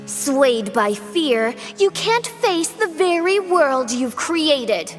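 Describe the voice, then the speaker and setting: A woman's voice: high-pitched, big pitch swings, extremely slow, soft background music, exaggerated voice. A woman voicing a theatrical young princess from an anime game, speaking in grandiose, formal phrases. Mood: menacing, haughty. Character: theatrical young girl princess facing her cruel dark double.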